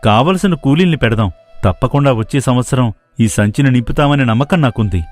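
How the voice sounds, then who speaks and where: A man's voice: medium pitch, a little fast, faint music behind, lightly acted. A man voicing a determined, hardworking young villager in a cartoon moral story, earnest and persuasive. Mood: encouraging. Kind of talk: dramatised moral story dialogue.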